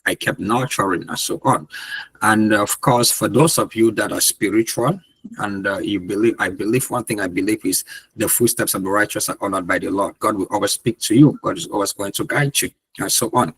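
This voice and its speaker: A man's voice: fairly low pitch, brisk, fairly bright tone, slightly rough, poor audio. A man from Cameroon, giving an earnest, repetitive motivational talk over a video call as guest speaker.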